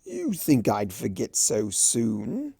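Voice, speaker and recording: A performer, a man, voicing a flamboyant, teasing male chimera trickster from a cartoon fan story, with theatrical drawn-out emphasis. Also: medium pitch, huge pitch swings, gravelly, mellow, breathy edge, no room sound, clean studio sound, full character voice. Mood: mock offended.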